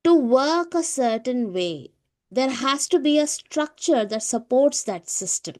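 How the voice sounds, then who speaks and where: A woman's voice: sits high, animated, a little slow. A woman from South India with an Indian accent, chatting as a co-host in a casual spiritual discussion.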